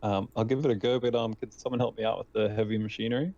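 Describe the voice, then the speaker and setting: A man's voice: lowish voice, steady tone, fast, mellow. A man playing a tabletop game, chatting casually with somewhat hesitant, apologetic phrasing. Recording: dull, muffled lo-fi mic.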